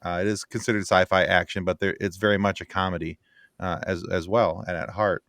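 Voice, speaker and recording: Low-voiced man in a dry-sounding room. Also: fairly even intonation, brisk, a bit bright, no echo. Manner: rambling, repeats phrases as he builds a point. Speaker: podcast panelist. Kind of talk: casual film chat.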